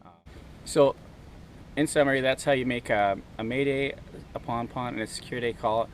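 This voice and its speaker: A man's voice: medium pitch, steady tone, moderate pace, slight background noise, low-quality recording. A man giving an informal instructional demonstration, often checking in with "okay?" as he goes. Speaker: presenter, kayak angler.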